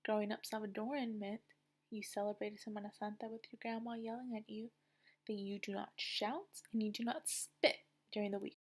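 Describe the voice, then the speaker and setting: A young woman from the United States reading a personal essay aloud in a soft, often half-whispered way. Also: medium pitch, little pitch movement, normal speed.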